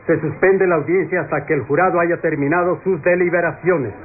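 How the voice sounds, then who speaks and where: An actor playing a solemn presiding judge in an old radio drama, with formal, measured oratory. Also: male voice, fairly high pitch, fairly even intonation, background noise, lightly acted. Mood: grave, formal. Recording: muffled lo-fi old radio recording.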